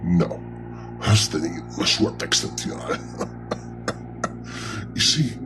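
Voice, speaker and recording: A man's voice: low voice, expressive, takes it slowly, loud music behind the voice. A man playing an ancient superhuman warlord in an audio drama, speaking with cold, commanding disdain and menace. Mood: condescending. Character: ancient imperious superhuman warlord primarch, menacing and coldly condescending.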